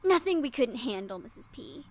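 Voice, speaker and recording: A woman's voice: sits high, very animated, moderate pace. A woman voicing a brash, loyal, sporty teenage schoolgirl with a casual, cocky manner in a visual novel game.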